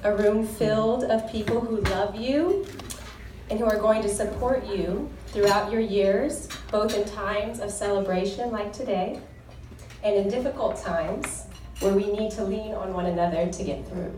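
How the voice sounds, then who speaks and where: A woman's voice: mid-range voice, measured pace, mellow, slight background noise. Earnest young woman toasting in an echoey hall. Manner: earnest, heartfelt, devout. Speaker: groom's sister giving a wedding toast. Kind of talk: formal wedding toast with scripture readings. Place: wedding reception hall. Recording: echoey hall recorded from far off.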